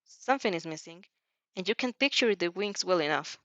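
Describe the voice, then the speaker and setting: Woman, expressive fan fiction reader, casual chatty delivery, dry close sound, dull lo-fi recording. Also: on the low side, fairly quick, no room sound. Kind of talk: expressive story reading with casual asides.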